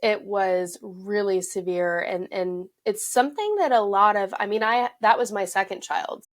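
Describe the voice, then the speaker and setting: A woman's voice: medium pitch, moderate pace. A woman hosting a podcast interview, relating to her guest in a casual, conversational way with fillers. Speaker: podcast host.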